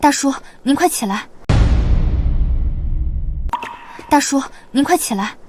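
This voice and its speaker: A calm cutting young heroine. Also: female voice, sits high, a little fast, background noise. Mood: kind. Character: young noble wife, calm and righteous.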